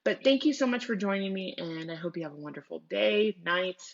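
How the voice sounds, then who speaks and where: A woman's voice: mid-range voice, animated, fairly quick, creaky, muffled recording. A woman teacher doing a read-aloud lesson for children.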